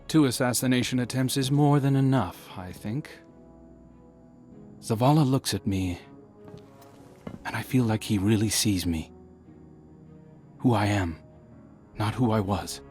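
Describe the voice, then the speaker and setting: A thoughtful young guardian , voiced by a man, speaks quietly and earnestly about the weight he carries. His voice sits low, with soft game music running behind it. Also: lightly acted. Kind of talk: game dialogue.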